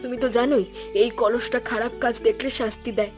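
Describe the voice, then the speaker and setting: Cartoon village wife voiced by a woman, brisk, slightly high, judgmental, clear music underneath. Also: fairly even intonation, lightly acted. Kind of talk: Bengali animated fairy tale dubbing.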